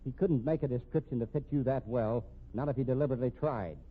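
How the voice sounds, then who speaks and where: An actor playing a wry, dogged police captain with a folksy, probing manner in a 1950s radio detective drama. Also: male voice, medium pitch, fast, narrow, tinny audio, close to an everyday voice. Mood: stern, confident. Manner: folksy, hesitant probing with casual uh and hmm. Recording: thin, band-limited 1950s radio recording.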